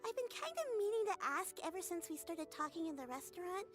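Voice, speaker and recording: A woman's voice: very high voice, lively intonation, fast talker, soft background music. A woman voicing a curious young girl who talks in a hesitant, earnest way. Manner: hesitant, earnest, a little shy.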